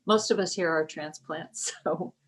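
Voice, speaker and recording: A fairly low-voiced woman in her sixties talks over a video call. She is chatty and easygoing in a casual interview, laughing lightly between thoughts. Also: measured pace. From Kansas, US Midwest. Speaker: guest, writer.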